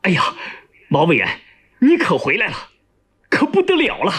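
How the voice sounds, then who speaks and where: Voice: mid-range voice, huge pitch swings, moderate pace, slightly rough. A storyteller voicing an alarmed, indignant male army chief of staff, exclaiming urgently. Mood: alarmed urgency.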